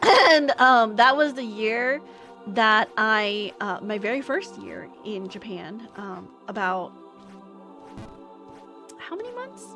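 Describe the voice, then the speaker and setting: Young american woman, fairly high voice, chatty rambling storyteller, faint music behind. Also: very animated, slow, pushed and bright. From United States. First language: English. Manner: chatty, rambling with many fillers and restarts. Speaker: streamer. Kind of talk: casual storytelling chat.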